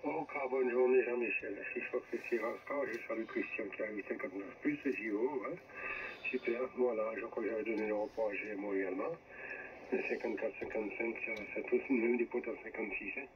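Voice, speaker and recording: A man's voice: on the low side, fairly quick. An older man rambling in a folksy, casual way as a ham radio operator over a radio link. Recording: dull, noisy amateur radio transmission.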